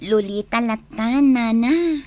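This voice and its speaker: A young female social worker in a radio drama, kindly and reassuring. Her voice is fairly high. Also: takes it slowly, a little mellow. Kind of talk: radio drama acting in Ilocano.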